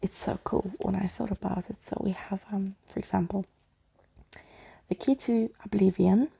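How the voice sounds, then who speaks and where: Breathy, creaky soft-spoken ASMR woman. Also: medium pitch, fairly even intonation, poor audio. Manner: unhurried, intimate, addressing one listener. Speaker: ASMR storyteller reading a book aloud. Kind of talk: soft-spoken ASMR bedtime roleplay and reading.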